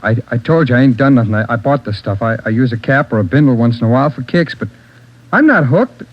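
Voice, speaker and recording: A man's voice: mid-range voice, expressive, fast talker, lightly acted. An actor playing a nervous male drug suspect under police questioning, stammering and evasive. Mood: insistent. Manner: stammering, evasive, repeating himself. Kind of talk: 1940s radio acting. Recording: muffled old radio broadcast.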